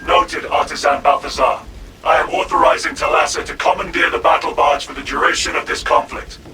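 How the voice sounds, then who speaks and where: Game commander, male, man voices him: calm authority, measured, slightly high. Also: lightly acted. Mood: commanding. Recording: distant voice under loud game music.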